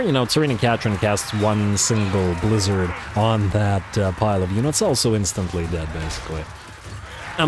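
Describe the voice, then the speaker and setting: A man casually commentating on a strategy game he is playing, chatty with lots of fillers, his voice a little raspy from coughing. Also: on the low side, noisy background. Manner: relaxed, chatty, frequent fillers and repeated words. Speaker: let's play commentator.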